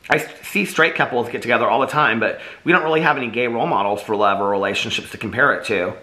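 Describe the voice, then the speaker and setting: A man reading as a gently earnest teenage boy. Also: mid-range voice, quick pace, close to an everyday voice, low-quality recording. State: slightly losing his voice.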